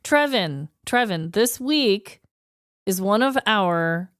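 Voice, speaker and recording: A woman co-hosting a podcast in casual comedic banter, quick to react and animated. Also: medium pitch, clean tone, no room sound, clean studio sound. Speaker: podcast co-host.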